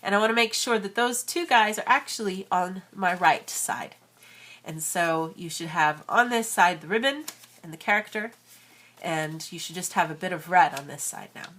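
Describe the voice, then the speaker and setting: A woman's voice: lowish voice, normal speed, a bit bright. A woman in her thirties with a cold, chatting casually as she walks through an origami tutorial. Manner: chatty, friendly, many fillers. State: has a cold. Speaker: origami crafter and channel host.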